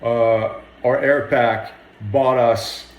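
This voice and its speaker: A man speaks in an interview as a firefighter. His medium-pitched voice sounds plain and modest, recorded with a noticeable room echo. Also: fairly even intonation, very slow. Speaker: firefighter officer. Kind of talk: interview account of an incident.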